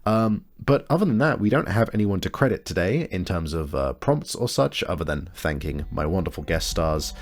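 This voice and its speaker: A man's voice: low-pitched, big pitch swings, moderate pace, hardly any pauses. A man hosting a tabletop game podcast, speaking casually and hesitantly as he searches for his words. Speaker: game master and show host. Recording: music behind the voice. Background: music.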